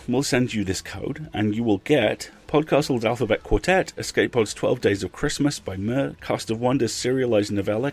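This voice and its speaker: A man hosting a podcast, speaking conversationally to listeners with emphatic phrasing. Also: medium pitch. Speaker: podcast host. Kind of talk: podcast outro with donation appeal.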